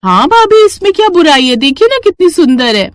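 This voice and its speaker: A woman's voice: high-pitched, sing-song, brisk, dry recording, clean studio sound, voice pushed up. A woman voicing a stubborn, hot-tempered, heavyset young bride-to-be in a cartoon story, indignant and whining. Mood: eager, insistent.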